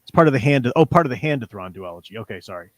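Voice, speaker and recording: A man's voice: mid-range voice, expressive, racing, dry recording. A man hosting a casual livestream book discussion, talking in an enthusiastic, conversational way. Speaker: show host reading chat messages.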